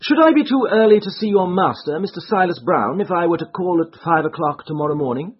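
A man voicing a calm, clever male detective who speaks with smooth, cool courtesy, in a muffled recording. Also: sits high, a little fast, pitched above the performer's own voice. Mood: cool, polite. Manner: smooth, courteous, coolly polite.

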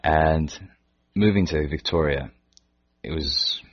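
A man from Canada with a Canadian accent that keeps traces of British, answering interview questions in a hesitant way with frequent pauses. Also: rumbling low. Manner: hesitant, pauses and restarts often. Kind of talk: casual interview chat. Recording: dull lo-fi recording.

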